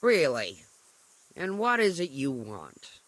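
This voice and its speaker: A foul-mouthed old peasant for a video game, hostile and grudging. The talk is slow and grumbling, with lively swings in pitch, recorded dry and close. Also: male voice, dry recording, exaggerated voice, poor audio. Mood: grudging, threatening. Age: older. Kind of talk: video game cutscene acting.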